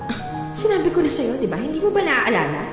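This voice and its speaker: A woman playing an aggrieved young wife and mother, sharp, accusing and sarcastic, in a radio drama. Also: sits high, wildly swooping pitch, quick pace. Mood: sarcastic, annoyed. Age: young adult. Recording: very echoey, reverberant, music behind.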